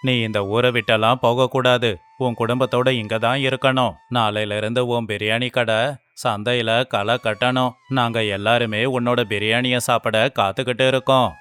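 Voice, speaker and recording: A man's voice: mid-range voice, flat delivery, normal speed, a bit bright, clear, resonant tone, close to an everyday voice. A man playing a remorseful villager in a moral story, earnest and entreating. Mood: apologetic, pleading. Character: remorseful, pleading male villager. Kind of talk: moral story character acting.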